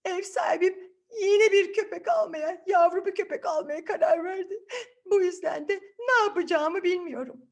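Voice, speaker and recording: Woman playing a high, wailing old weary dog. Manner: wailing, drawn-out moaning.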